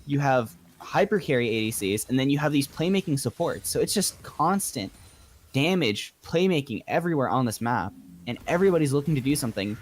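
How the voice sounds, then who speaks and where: A man doing play-by-play commentary on a live video game match, with a delivery that gets excitable when calling action. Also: medium pitch.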